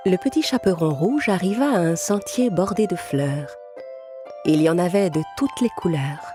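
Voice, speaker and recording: Woman storyteller with a lowish voice. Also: expressive, moderate pace. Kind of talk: scripted children's fairy tale narration. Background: music.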